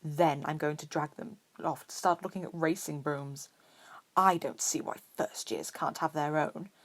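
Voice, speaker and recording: A woman's voice: low voice, unhurried. A woman voicing a spoiled, snobbish eleven-year-old wizard boy with a lazy drawl in a story reading. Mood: lazy, entitled.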